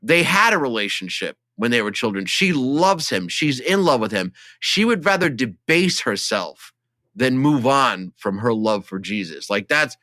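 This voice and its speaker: A man co-hosting a podcast in casual film discussion, joking and jumping in with an animated delivery. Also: mid-range voice, moderate pace, no echo, studio quality. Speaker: podcast co-host.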